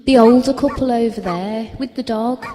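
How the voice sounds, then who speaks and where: A woman's voice: fairly high pitch, normal speed, dark tone, a little hiss or hum, natural voice. A woman playing a prickly, self-deprecating single woman in her forties in an audio drama. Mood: wry, playful. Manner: wry, guarded. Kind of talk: naturalistic audio drama acting. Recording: long reverb on the voice.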